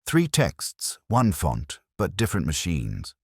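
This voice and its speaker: A man voicing a measured, shrewd police inspector in a mystery story, speaking quietly and deliberately. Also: sits low, huge pitch swings, slightly rough, no room sound, clean studio sound, full character voice. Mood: musing.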